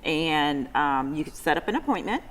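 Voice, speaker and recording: A woman's voice: low voice, animated, big-room sound, low-quality recording. A woman in her sixties, a fitness trainer, explaining her methods in a casual interview. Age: 60s. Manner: practical, explains step by step with quick asides.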